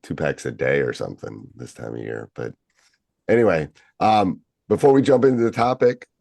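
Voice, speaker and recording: Co-host, male, deep gravelly voice worn hoarse by a cold, easygoing podcast chatter. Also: moderate pace, dark tone, no echo. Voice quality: deep, raspy voice. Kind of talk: casual chat.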